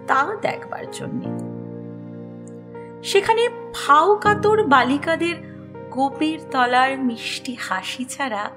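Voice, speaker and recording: High-pitched, expressive woman storyteller, unhurried. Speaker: host and story narrator. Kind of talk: expressive scripted storytelling narration. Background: music under the voice.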